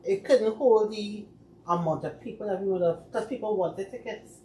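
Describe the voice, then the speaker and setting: A woman speaking as a guest on a talk show, calm and matter-of-fact. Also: medium pitch, normal speed. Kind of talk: casual talk show chat. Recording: roomy sound with faint noise.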